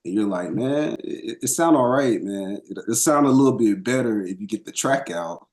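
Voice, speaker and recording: A man hosting a podcast, chatting with an old friend in an easygoing, slow, drawn-out way. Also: fairly low pitch, animated, darkish tone. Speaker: podcast host. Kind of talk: casual interview chat.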